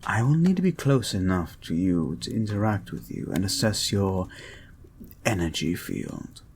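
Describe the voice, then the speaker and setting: A man playing a creepy, soothing male therapist in an intimate audio roleplay, breathy and soft-spoken, with formal, deliberate phrasing that often drops toward a whisper. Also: sits low, very animated, noisy background, exaggerated voice. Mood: calm, reassuring. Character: creepy, soothing male experimental therapist, calmly controlling and intent. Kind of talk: slow intimate ASMR-like audio roleplay, guided hypnosis.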